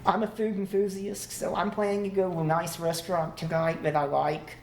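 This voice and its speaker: A man giving a relaxed, conversational conference talk to a live audience, laughing easily as he goes. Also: sits high, a little fast. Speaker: conference presenter. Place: conference room. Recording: echoey room, faint background hum.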